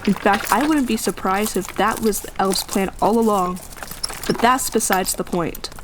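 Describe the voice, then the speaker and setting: A woman's voice: medium pitch, normal speed, noisy background, lightly acted. A woman playing an earnest, self-doubting young village woman in an audio drama, with a hesitant way of talking. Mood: earnest reasoning.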